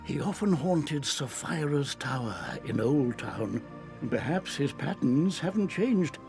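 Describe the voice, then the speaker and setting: A kindly, wise mentor in a fantasy game. The voice sits fairly high and moves expressively up and down. The pace is slow and theatrical, like a storyteller's, with soft music behind. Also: male voice, animated. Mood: urgent realization. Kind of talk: video game character acting.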